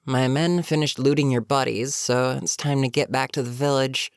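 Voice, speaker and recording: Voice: medium pitch, fairly even intonation, moderate pace, smooth, dry recording, studio quality, lightly acted. A performer voicing a weary goblin captain in a roleplay audio, chatting casually and sardonically with a captive, amused yet businesslike. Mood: businesslike, fond. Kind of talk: immersive character roleplay monologue, conversational.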